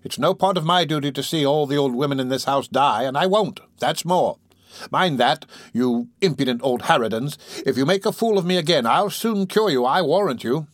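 A man's voice: mid-range voice, animated, normal speed, slightly rough, dry recording, studio quality. A man voicing a stern, self-important workhouse matron in a storybook, scolding sharply. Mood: snappish, threatening.

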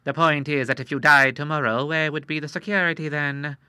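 A man's voice: a little high, steady tone, pushed and bright, clean tone, dry recording, clean studio sound, pitched above the performer's own voice. Man playing a cool, shrewd moneylender. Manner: measured and coolly persuasive. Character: shrewd, smooth male moneylender, middle-aged.

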